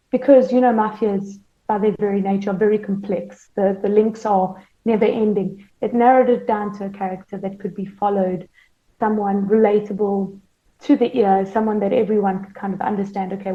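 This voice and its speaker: A woman speaking as a guest producer, explaining her work in an interview style. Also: medium pitch. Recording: muffled, roomy, echoey audio.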